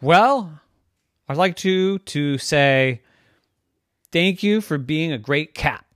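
A storyteller voicing a talking house cat who is fed up at never being understood, in a squeaky indignant voice. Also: expressive, slow, fairly bright tone, no echo, studio quality. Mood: aggrieved.

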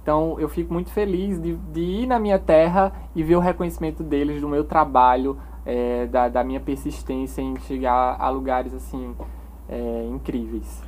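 Halting young man with a Paraíba accent. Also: fairly high pitch, fairly even intonation, darkish tone, a little hiss or hum. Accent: Paraíba Northeastern Brazilian. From Paraíba, Brazil. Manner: halting, many restarts, proud and upbeat. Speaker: interview guest, page owner. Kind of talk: casual podcast interview.

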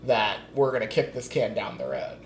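A man's voice: mid-range voice, fairly even intonation, moderate pace, creaky, a little hiss or hum. Late-twenties man, casual political chat, rambling delivery, roomy echo. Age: 20s. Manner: rambling, many restarts and fillers, laughs often.

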